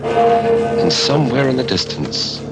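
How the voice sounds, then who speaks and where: A man's voice: mid-range voice, wildly swooping pitch, slow pace, gravelly, low-quality recording. A man narrating as the announcer of a 1940s radio horror show, dramatic and ominous. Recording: vintage radio recording, loud music behind.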